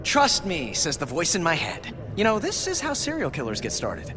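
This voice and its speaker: A man's voice: a little high, huge pitch swings, normal speed. An actor playing a sarcastic, exasperated young American superhero who quips his way through a video game cutscene. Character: wisecracking, weary young male superhero. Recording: loud game music behind. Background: game music.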